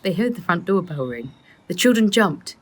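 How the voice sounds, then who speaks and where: A woman reads a children's story aloud as its author. Her voice is on the low side and moves with lots of melody. The recording carries faint noise and small clicks. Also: sing-song, normal speed. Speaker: author reading her book. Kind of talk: expressive children's storybook narration.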